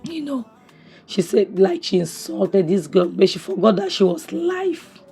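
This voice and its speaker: Hoarse, husky woman vlogging. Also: mid-range voice, very animated, unhurried, warm tone, soft background music. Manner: chatty and opinionated, many restarts and "you know". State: hoarse from a cough, losing her voice. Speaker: series review vlogger. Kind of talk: casual spoken review recap. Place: home, near a kitchen appliance.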